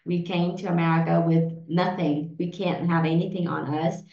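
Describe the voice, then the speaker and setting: A woman's voice: lowish voice, steady tone, normal speed, creaky. A woman in her forties from Vietnam, a native Vietnamese speaker, answering interview questions hesitantly with many fillers and restarts. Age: 40s. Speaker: podcast guest. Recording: roomy, dull lo-fi sound.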